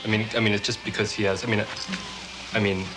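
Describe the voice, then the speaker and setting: A man acting an awkward, doubting character in naturalistic film dialogue, halting, rambling and repeating himself. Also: fairly low pitch, flat delivery, background noise, close to an everyday voice. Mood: awkward, skeptical. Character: awkward, doubting man in hesitant dinner small talk.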